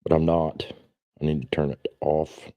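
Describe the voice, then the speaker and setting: Very deep-voiced man in his forties, rambling casually. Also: little pitch movement, moderate pace, mellow, no room sound. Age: 40s. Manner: rambling, blunt, self-deprecating, salty biker talk. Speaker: livestream host. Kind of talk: casual livestream chat.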